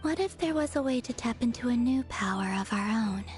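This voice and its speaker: Serene high-voiced moon goddess, acted by a woman. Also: a little fast, faint music behind, close to an everyday voice. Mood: solemn, calm. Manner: gentle, formal, calm. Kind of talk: video game cutscene voice acting.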